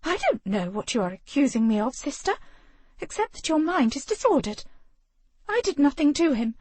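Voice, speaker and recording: A woman voicing a defensive young noblewoman who rushes her denials. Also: high-pitched, sing-song, a little mellow, pitched above the performer's own voice.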